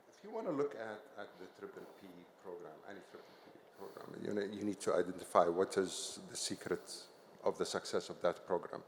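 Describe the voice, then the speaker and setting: A man's voice: sits low, big-room sound, a little hiss or hum. A man on a conference panel, answering with many fillers and restarts and checking in with "okay". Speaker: investment company chief executive. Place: conference hall on stage.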